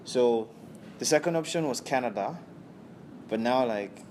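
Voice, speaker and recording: Young man from Nigeria, relaxed. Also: medium pitch, measured pace, a little hiss or hum. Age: young adult. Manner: relaxed, tags sentences with "right?". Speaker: interviewee, university student. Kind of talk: casual interview answers.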